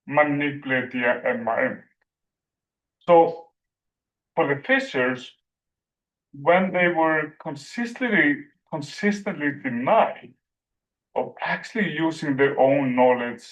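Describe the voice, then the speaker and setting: A man from Iceland with an Icelandic accent giving an academic presentation, speaking haltingly with many fillers like "like" and "you know". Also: mid-range voice, muffled recording.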